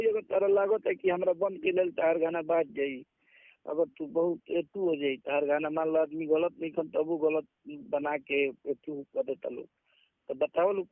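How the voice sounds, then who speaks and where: A man's voice: on the high side, dry recording. A man with a Bhojpuri accent in an informal phone conversation, pleading and persuasive, often checking that the listener agrees. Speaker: music artist with own studio. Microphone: phone call. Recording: muffled recorded phone call.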